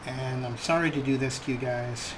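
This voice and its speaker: Male host, mid-pitch, relaxed wry gameplay commentary, noisy background. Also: normal speed. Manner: relaxed, wry, talks to his viewers. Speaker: let's play host.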